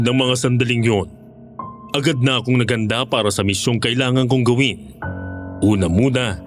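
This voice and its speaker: A man's voice: mid-range voice, natural voice. A man playing a former monster hunter, narrating his mission in first person as a scripted horror story. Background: music.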